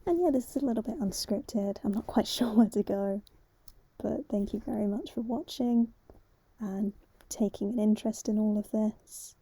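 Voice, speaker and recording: A woman's voice: medium pitch, normal speed, mellow, breathy edge, no echo. A woman speaking casually and a little hesitantly as an amateur voice actor, telling unscripted stories to viewers.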